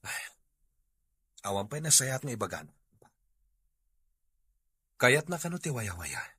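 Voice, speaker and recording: A man's voice: medium pitch, very animated, slow, bright, forceful tone, breathy edge, no echo, heavily acted. A man playing a frustrated Filipino husband and grown son in a drama. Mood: dejected. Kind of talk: comedy-drama acting.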